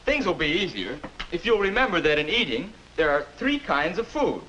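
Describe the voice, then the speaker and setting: A man's voice: high-pitched, very animated, moderate pace, pushed and bright, muffled recording, heavily acted. An American actor in his twenties playing a patient, encouraging older self instructing a boy in 1950s educational film style. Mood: helpful. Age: 20s. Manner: instructive, encouraging, neatly spoken. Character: patient, upbeat 21-year-old older self mentoring a boy. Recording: noisy old 1950s film soundtrack.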